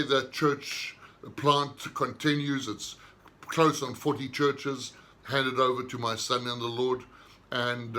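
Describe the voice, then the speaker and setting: A man, a minister giving an unhurried personal testimony in short, reflective phrases. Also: medium pitch, pushed and bright. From southern Africa.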